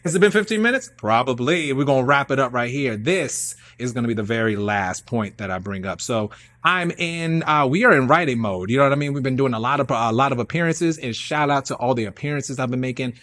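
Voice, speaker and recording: A man's voice: mid-range voice, lively intonation, fairly quick, a bit bright. A man in casual, self-promoting chat, talking in hype slang and repeating phrases for emphasis. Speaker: playwright and performer.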